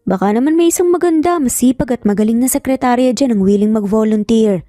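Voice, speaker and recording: Woman acting out playful male boss, Filipino accent, storybook romance, teasing manner, soft-toned, faint music. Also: on the high side, quick pace, no room sound, studio quality, close to an everyday voice. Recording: background music under voice.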